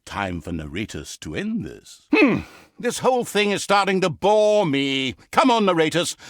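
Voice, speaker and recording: A pompous, sardonic fantasy game narrator, smug and petty. His fairly high male voice swoops wildly up and down as he hams up the storytelling, all in a very dry, close studio sound. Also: no room sound, exaggerated voice. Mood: impatient, exasperated. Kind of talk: theatrical comic storytelling narration.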